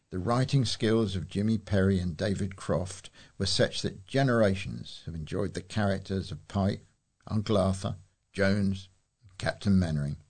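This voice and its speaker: Casting: British man, very low pitch, volunteer reader of local newspaper letters and editorials. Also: vocal fry, darkish tone, husky. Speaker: volunteer newsreader for a talking newspaper. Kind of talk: reading letters and editorials aloud.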